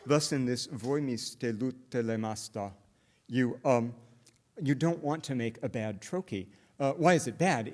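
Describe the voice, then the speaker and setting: A middle-aged man lecturing at a conference, explaining step by step and often pausing on "uh" fillers and restarts. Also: medium pitch, darkish tone. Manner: hesitant, many "uh" fillers and restarts; explanatory, walks through examples step by step. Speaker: linguistics lecturer. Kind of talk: academic conference talk.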